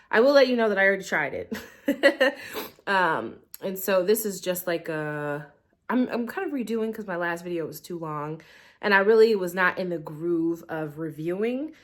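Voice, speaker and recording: A woman's voice: mid-range voice, animated, normal speed. A young woman with a blocked-up, slightly nasal voice, chatting to her camera at home. She rambles loosely, hedging with ums and likes as she talks through a tea review. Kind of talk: casual review vlog. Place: living room at home. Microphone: camera in portrait mode.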